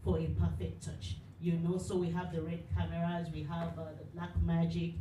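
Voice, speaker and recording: A chatty woman greets an audience at a live event, filling her talk with "you know" as she goes. Her voice comes from a distance in a roomy, echoing space with steady noise behind it. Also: on the low side, normal speed. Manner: warm, chatty, many "you know" fillers. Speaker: studio representative. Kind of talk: welcoming speech at a live event.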